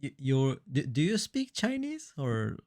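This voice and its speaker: A man's voice: medium pitch, huge pitch swings, a little slow, darkish tone. A man whose first language is Arabic, hosting a casual interview over a video call and often restarting his words. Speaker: podcast host. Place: home near Tokyo.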